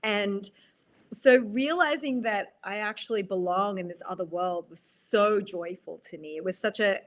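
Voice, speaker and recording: Reflective Australian woman, halting restarts. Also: mid-range voice. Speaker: guest, actress. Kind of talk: podcast interview.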